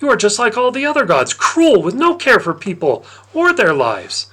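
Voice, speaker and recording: Man playing a high-pitched, agitated pleading boy. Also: huge pitch swings, moderate pace, bright, forceful tone, heavily acted. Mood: angry grief. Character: frightened, defiant boy pleading with a god.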